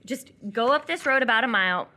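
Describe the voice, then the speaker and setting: A woman's voice: fairly high pitch, lively intonation, fairly quick, pushed and bright. A woman playing a blunt, teasing young eatery worker in live radio theatre, with a casual, offhand way of talking. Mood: teasing, curious. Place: live stage performance before an audience.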